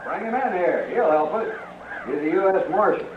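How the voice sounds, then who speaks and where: An injured, ill-tempered frontier townsman in a radio drama. His voice is high and echoing. The sound is muffled and noisy. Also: lively intonation, normal speed. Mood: strained, anxious. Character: injured, ungrateful, mean-tempered frontier saloon worker. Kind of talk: 1950s radio western acting.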